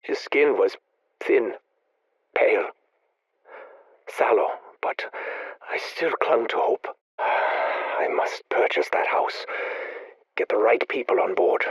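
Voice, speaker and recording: A brooding breathy scientist. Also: male voice, mid-range voice, animated, no echo, exaggerated voice, poor audio. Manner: measured, brooding and confessional. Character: grieving obsessive male scientist recording audio logs. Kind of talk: video game audio log narration.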